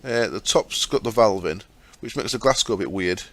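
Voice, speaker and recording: A man hosting a gaming channel, explaining his setup step by step in a chatty way with fillers, his throat sore and croaky. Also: on the low side, animated, moderate pace, a bit bright. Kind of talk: casual game commentary.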